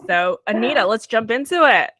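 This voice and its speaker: A woman co-hosting a podcast, bubbly and expressive, laughing easily. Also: a little high, no room sound. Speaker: podcast co-host. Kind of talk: casual podcast chat.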